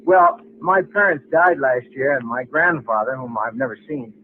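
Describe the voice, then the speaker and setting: A man's voice: mid-range voice, expressive, normal speed, lightly acted. An actor with an American accent playing a polite, trusting and slightly hesitant young man in a vintage radio drama. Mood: mild, reflective. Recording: tinny, noisy old radio broadcast recording.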